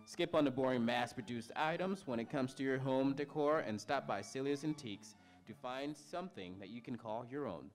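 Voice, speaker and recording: A man's voice: mid-range voice, moderate pace, hardly any pauses. A man reading a scripted radio sponsor advertisement as announcer, occasionally stumbling over the copy. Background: music.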